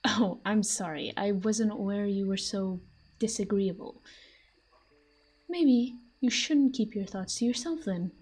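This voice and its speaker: A woman playing a possessive, teasing fantasy villain in an intimate audio roleplay, with a soft, breathy voice and a smug manner. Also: mid-range voice, a little slow. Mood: smug, probing. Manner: smug, taunting, breathy murmurs. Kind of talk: intimate scripted audio roleplay addressed to the listener.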